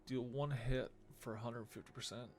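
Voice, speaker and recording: A man's voice: medium pitch, fairly even intonation, normal speed. A man doing casual let's play commentary as a streamer, thinking aloud in an offhand mutter. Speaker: video game streamer. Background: game music.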